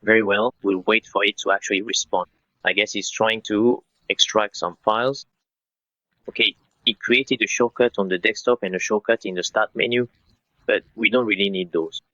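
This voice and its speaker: A man in his thirties narrating a step-by-step software tutorial in an instructional way, speaking directly to viewers. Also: fairly low pitch, steady tone, normal speed, no echo, muffled recording. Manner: instructional, addresses viewers as guys.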